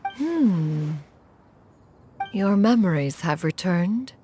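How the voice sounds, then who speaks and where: A woman's voice: on the low side, expressive, drawn-out words, mellow, a little hiss or hum. A woman playing an aloof, dignified immortal sage in a video game, speaking in formal, archaic phrases with stately manner. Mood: grave, considerate. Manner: stately, archaic, refers to herself as one. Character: aloof, dignified female adeptus, formal and wise.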